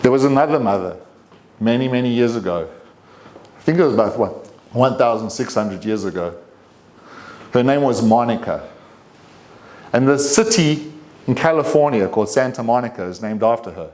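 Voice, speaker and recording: Male, 50s, South African accent, mid-range pitch; personal storytelling speech, deliberate with dramatic pauses. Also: animated, a little mellow. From Durban, South Africa. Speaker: club speaker giving a personal speech.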